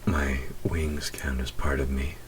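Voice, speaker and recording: An extremely deep-voiced male demon, acted by a man speaks slowly and intimately, teasing and flirting in breathy murmurs. It plays as a roleplay audio drama, with faint noise behind the voice. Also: fairly even intonation. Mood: teasing, tender. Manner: slow, intimate, breathy, drifting into whispers.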